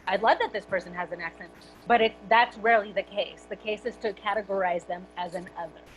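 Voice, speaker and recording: An actress on a panel, speaking frankly and with animation. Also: female voice, mid-range voice, steady tone, fairly quick, soft background music, poor audio. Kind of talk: casual panel discussion.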